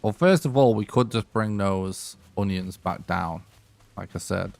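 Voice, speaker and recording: A young man streaming live gameplay who is losing his voice, thinking aloud and clearing his throat often. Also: lowish voice, unhurried, darkish tone, dry recording. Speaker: game streamer.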